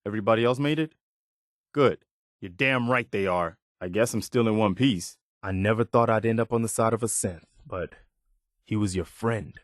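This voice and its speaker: Male actor as dutiful militia lieutenant, man, lowish pitch, earnest, plainspoken, principled, dry studio sound, post-apocalyptic game dialogue. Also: normal speed, no echo, lightly acted. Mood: steadfast, then indignant. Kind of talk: video game character dialogue.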